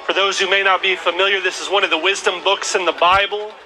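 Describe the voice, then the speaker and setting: A man's voice: high-pitched, steady tone, fast. A man in his thirties giving an open-air Bible talk into a handheld microphone in a teacherly, explaining way. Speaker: street preacher. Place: outdoor plaza by historic buildings. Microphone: handheld microphone through a speaker. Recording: outdoor street noise.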